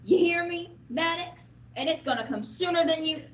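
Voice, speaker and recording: A seedy, spiteful barfly informant acted by a teenage woman in a comic crime radio play. The voice is high, sitting well back from the mic in an echoey space. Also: normal speed, fairly bright tone. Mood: bitter, threatening. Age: teens. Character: seedy, whiskey-soaked, spiteful male informant. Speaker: school radio drama actor. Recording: distant, echoey, dull, faintly noisy audio.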